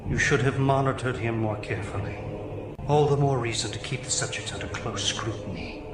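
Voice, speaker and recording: A man playing a cold, calculating scientist villain with a measured, menacing delivery. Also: mid-range voice, very noisy, natural voice. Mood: cold, scolding. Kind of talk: villain character acting. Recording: roomy echo, background music.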